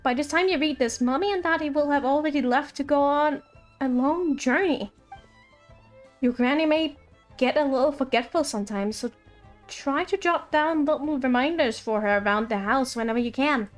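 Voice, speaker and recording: A woman's voice: high-pitched, normal speed. A woman streaming a video game, chatting casually with playful asides and reading game text aloud over music.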